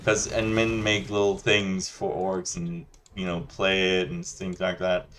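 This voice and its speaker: Guy ranting casually, low voice, rambly and chill, lots of you know. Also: little pitch movement, measured pace, a bit bright, a little hiss or hum. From Denmark. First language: Danish. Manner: rambling, loose and chatty, many restarts and "you know". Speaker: gaming channel host. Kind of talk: casual rant over gameplay.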